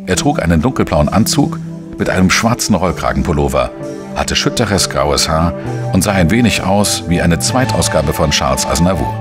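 An actor playing a dry, probing investigator who narrates a crime radio drama in a measured way. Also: male voice, low-pitched. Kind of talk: scripted first-person crime narration and dialogue.

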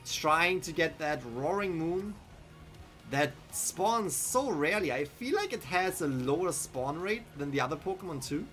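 A young man with a German accent talks through his game in a relaxed, rambling way, often thinking aloud and replying to chat. His voice sits a little high for a man, heard close on a headset mic. Soft game music plays faintly underneath. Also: measured pace. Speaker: streamer. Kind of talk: casual live-stream commentary. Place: small room indoors.

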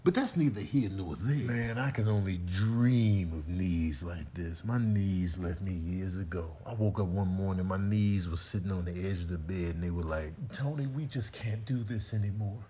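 A man's voice: low voice, moderate pace, hardly any pauses, mellow, a little hiss or hum. A man giving comic voiceover commentary with exaggerated mock amazement and self-deprecation. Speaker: comedian.

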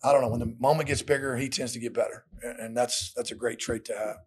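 A man's voice: medium pitch, steady tone, fast talker, slightly rough. A middle-aged American man, a football coach, answering questions in a plain, colloquial way at a post-game press conference podium. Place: indoor press room at a podium. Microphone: podium microphones.

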